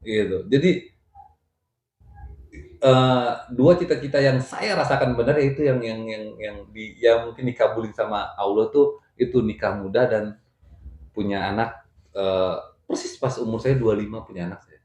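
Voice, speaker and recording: A man's voice: on the low side, moderate pace, a little mellow. A middle-aged man telling his life story in casual, colloquial chat, often repeating his phrases. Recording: echoey room.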